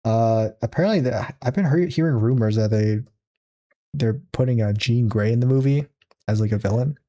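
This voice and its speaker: A man with a mellow, darker voice chats casually as a podcast co-host. He rambles through his points with plenty of "uh" and "like" and laughs often. His pitch sits in an ordinary middle range. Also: normal speed. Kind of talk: casual chat.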